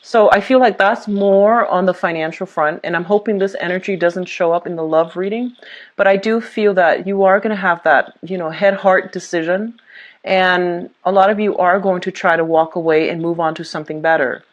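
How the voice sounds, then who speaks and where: A woman with a fairly low voice gives a tarot reading straight to her viewers. She reassures them in an easy, conversational way and often pauses on fillers and restarts her sentences. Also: steady tone, highs cut off. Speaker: tarot reader.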